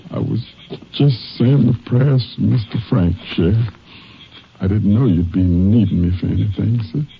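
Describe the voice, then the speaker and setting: An actor playing a humble, honest old farmhand with a Southern accent, husky, halting and deferential, in a 1950s radio drama. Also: male voice, fairly low pitch, a little hiss or hum. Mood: humble, earnest. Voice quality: husky, gravelly. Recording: dull old radio broadcast.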